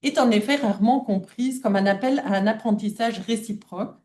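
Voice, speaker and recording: A woman's voice: fairly high pitch, mellow. A woman who is not a native French speaker, giving a formal academic lecture read aloud from a prepared text. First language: not French (non-native speaker).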